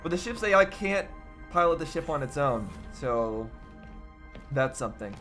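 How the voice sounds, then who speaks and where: A man's voice: fairly high pitch, animated, normal speed, fairly bright tone, soft background music. A man giving casual reaction commentary on game cutscenes, musing and thinking aloud as he goes. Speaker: reaction video host.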